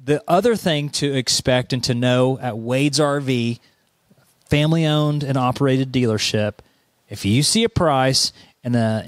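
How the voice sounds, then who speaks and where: A young man with an Oklahoma accent co-hosting a dealership podcast, chatty and animated in casual talk. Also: no room sound, studio quality. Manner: chatty, animated, acts out customer quotes with pitch jumps. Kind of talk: casual promotional chat. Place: small studio set indoors. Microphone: standing studio mic with headphones.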